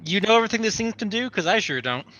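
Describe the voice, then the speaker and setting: A man's voice: sits high, lively intonation, fast talker, pushed and bright, a little hiss or hum, low-quality recording. A man bantering casually as a tabletop game player, with a sarcastic, needling manner.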